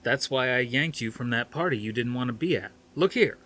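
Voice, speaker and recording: A man reading a storybook as an excitable, insistent male researcher with a loud, eager, emphatic delivery. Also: medium pitch, a little fast, a little hiss or hum, lightly acted. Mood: exasperated.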